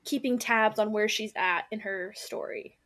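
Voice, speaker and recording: A woman's voice: medium pitch, normal speed, fairly bright tone. A woman co-hosting a book club podcast, talking casually and chattily, often saying "like" as she goes.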